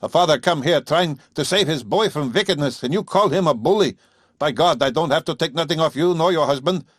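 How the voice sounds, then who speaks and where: A man voicing a gruff, bullying old farmer with a whining, rough country dialect. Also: on the high side, animated, normal speed, no echo, studio quality, pitched above the performer's own voice. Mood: angry, sneering.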